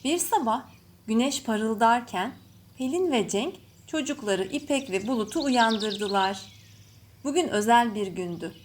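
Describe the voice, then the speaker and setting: High-voiced woman narrating a children's story. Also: expressive, measured pace. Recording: faint background noise with birdsong. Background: birds chirping.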